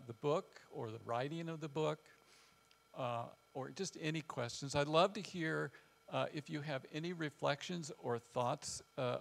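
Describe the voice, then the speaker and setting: An older American man with a Midwestern accent and a gravelly voice, giving an informal storytelling talk in a halting, reflective way with frequent pauses. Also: fairly high pitch. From west central Illinois, United States. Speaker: guest speaker, family counselor. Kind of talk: informal presentation with personal storytelling. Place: large church hall with wooden floor, small seated audience.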